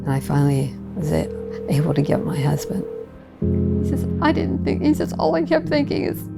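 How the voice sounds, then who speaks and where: A woman's voice: deep voice, big pitch swings, normal speed, gravelly. A woman in her sixties giving an emotional personal recollection in a documentary interview. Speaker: survivor giving testimony. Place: living room with wood paneling and stone fireplace. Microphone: lapel mic. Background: prominent background music.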